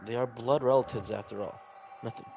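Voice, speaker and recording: A man's voice: mid-range voice, steady tone, a little fast, creaky, muffled recording, noisy background. A man reading a visual novel aloud in an informal, chatty playthrough style, rambling a little. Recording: music playing behind the voice. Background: background music.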